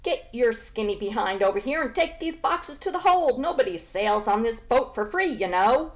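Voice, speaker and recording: A woman voicing a gruff, bossy sailor barking orders in a storybook reading. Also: fairly high pitch, sing-song, normal speed.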